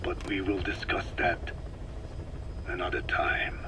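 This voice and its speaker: Code Talker: a whispering ancient sage. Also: male voice, low-pitched. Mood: grave. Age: older. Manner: slow, hushed, whispery, formal. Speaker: scientist giving instructions. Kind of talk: video game cassette tape dialogue. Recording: cassette tape with audible hiss.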